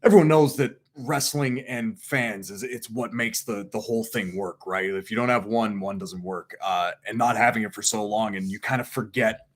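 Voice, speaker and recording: A man's voice: on the low side, moderate pace, hardly any pauses. A man in his thirties from French Canada, a wrestler co-hosting a casual chat and reading fan questions aloud with some stumbles. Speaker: wrestler and co-host.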